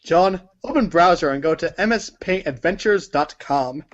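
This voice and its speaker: A man's voice: a little high, animated, normal speed, highs cut off. A man narrating a comic read-along story in the second person.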